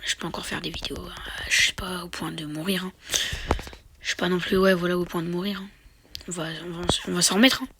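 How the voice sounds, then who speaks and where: A young man commenting on his own mobile gameplay, with a sore throat and a slight cold, switching between excited slangy cheering and quiet muttering under his breath. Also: high voice, fast talker, noisy background. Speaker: mobile game youtuber.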